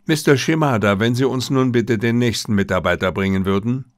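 A man reading the part of a confident, gently mocking detective with an assured, lecturing manner in an audiobook. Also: mid-range voice, a little fast, no echo, studio quality, natural voice. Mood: brisk, assured.

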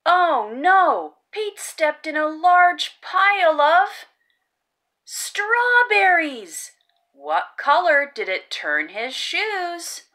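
Very animated woman reading to children. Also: very high voice. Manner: expressive, exaggerated exclamations with drawn-out key words. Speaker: children's story reader, host. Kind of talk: animated children's read-aloud.

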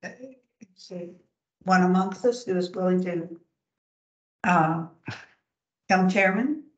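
An older woman chairing a town commission meeting, soft-spoken and hesitant, often trailing off. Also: fairly low pitch, slow. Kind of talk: meeting discussion. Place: town council chamber. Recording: echoey room, dull lo-fi sound.

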